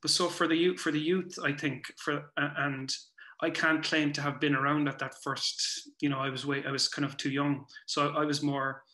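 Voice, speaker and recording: A man with a Cork Irish accent talks casually and reflectively, halting and rephrasing as he goes. His voice is bright and fairly level in pitch. It comes over a video call from a roomy indoor space. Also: little pitch movement. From Cork, Ireland. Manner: halting, circling back to rephrase. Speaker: guest, playwright and performer. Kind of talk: casual reflective interview chat. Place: small room at home, indoors. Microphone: computer mic on a video call.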